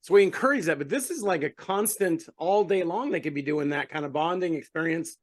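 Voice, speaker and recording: A man's voice: a little high, a little fast. A man co-hosting a podcast, chatting casually and sharing work anecdotes. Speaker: podcast co-host.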